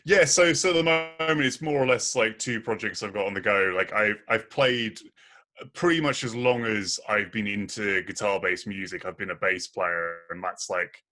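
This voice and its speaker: Guest: young man, very low voice, bright and forceful tone, casual and self-deprecating. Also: normal speed. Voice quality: very deep voice. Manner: laughs often, self-deprecating. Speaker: podcast guest, bassist. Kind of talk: casual chat.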